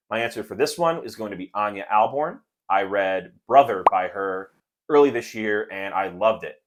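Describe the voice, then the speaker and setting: A man hosting a casual book chat for viewers, speaking in an enthusiastic, positive way. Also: fairly low pitch. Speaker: book reviewer and video host.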